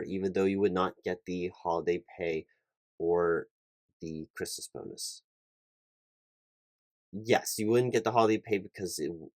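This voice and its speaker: A man playing a polite, fussy, slightly flustered hiring manager in a one-sided ASMR job interview roleplay, speaking formally and haltingly and often repeating himself. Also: sits low, no room sound, close to an everyday voice. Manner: halting, repetitive, over-careful, formal.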